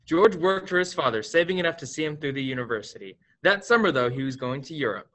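A man's voice: a little high, animated, quick pace, a bit bright. A young man playing a fond storyteller, narrating a radio play expressively. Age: young adult. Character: story-telling guide, recounting a life fondly.

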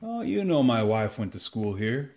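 An aging landowner played by a man in a radio comedy. He has a relaxed, mellow tone and a plain, matter-of-fact way of talking. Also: mid-range voice, moderate pace. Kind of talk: old-time radio comedy acting.

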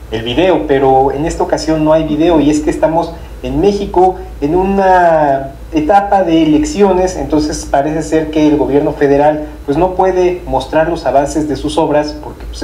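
A man's voice: a little high, dark tone, echoey room, a little hiss or hum, poor audio. A man co-hosting a travel channel, giving a friendly, conversational explanation to viewers. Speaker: travel YouTuber co-host. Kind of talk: casual explainer.

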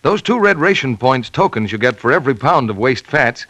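A man's voice: mid-range voice, sing-song, a little fast, a bit bright, no echo. A man with an American accent announcing and reading scripted sponsor messages in 1940s radio style. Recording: 1940s radio broadcast recording.

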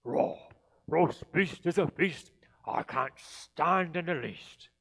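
A man's voice: a little high, slow, a bit bright, breathy edge, heavily acted. A man voicing a sour, scheming creature who hates Christmas, snarling and emphatic as in a storybook reading. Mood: disgusted. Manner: snarling, growling, emphatic repetition. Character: sour, hateful, scheming grumpy male Christmas-hating creature.

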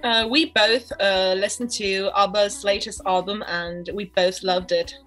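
A woman co-hosting over a video call, asking questions in a relaxed, plain way. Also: medium pitch, a little slow, soft background music. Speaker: co-host, interpreter. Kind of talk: casual interview questions.

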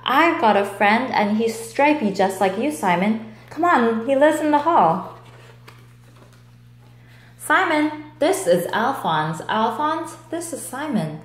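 A woman's voice: fairly high pitch, animated, slow pace, roomy sound. A woman voicing an eager, helpful, proud male teddy bear from a children's storybook, encouraging and upbeat. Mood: excited.

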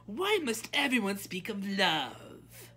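Woman doing a spoiled princess, young, high-pitched, proud, petulant, fairy-tale storybook style. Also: sing-song, slow pace, pushed and bright, a little hiss or hum, heavily acted. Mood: proud, irritated. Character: haughty, indignant, spoiled beautiful young princess.

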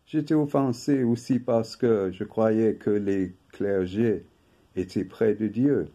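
An older man, native English speaker from England, reading a prepared talk from paper in a slow, measured way at home. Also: low-pitched, mellow, lo-fi sound. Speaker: video host. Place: home room with bookshelf.

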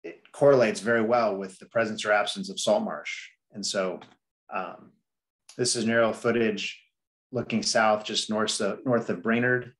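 A middle-aged man with a lowish voice walks through slides on a video call from an indoor office. He explains at a measured pace and fills his pauses with "um" and "you know". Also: fairly even intonation. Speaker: district staff presenter. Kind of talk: slide presentation at a public meeting.

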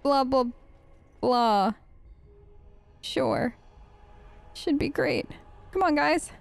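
A woman's voice: high-pitched, animated, very slow, vocal fry, dry recording. A woman hosting a let's play with casual, wry, hesitant role-play commentary, talking in character.

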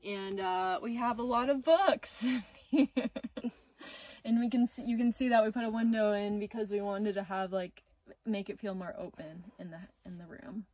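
A young woman giving a casual, relaxed tour of her small wooden house, chatting with fillers and little laughs, filmed on a handheld phone indoors. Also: medium pitch, normal speed.

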